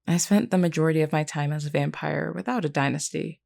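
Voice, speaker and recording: A low-voiced vampire queen played by a woman, composed and solemn, with a gravelly fry in her tone. She speaks formally and earnestly, like a caring leader in an audio drama, reassuring and measured. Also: a little mellow. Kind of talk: scripted character roleplay audio.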